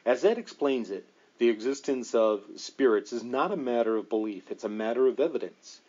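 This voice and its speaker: A man's voice: medium pitch, normal speed, dark tone, muffled recording. A man narrating a nonfiction book as an audiobook reader, in a scripted reading style.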